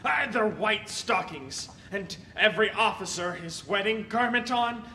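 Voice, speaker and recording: A man playing a garrulous, put-upon comic servant in a Shakespearean audio play, speaking theatrically with halting hesitations. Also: high-pitched, expressive, bright, forceful tone, a little hiss or hum, exaggerated voice. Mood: busy, fussing. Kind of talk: Shakespearean stage-style audio play acting.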